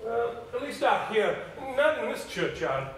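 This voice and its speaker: An actor playing a reserved, evasive small-town agent on stage, with a clipped, formal, hesitant manner. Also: male voice, extremely high pitch, sing-song, unhurried, full character voice. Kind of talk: stage play acting. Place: indoor stage, recorded from afar. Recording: very echoey hall, distant mic, hiss.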